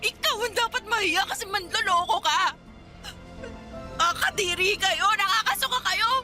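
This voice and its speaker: A hurt, angry young Filipina-accented woman in a radio drama, her voice very high and tearful. She accuses and pleads in repeated questions, with music clearly playing behind her. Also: lively intonation, normal speed. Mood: bitter, disgusted.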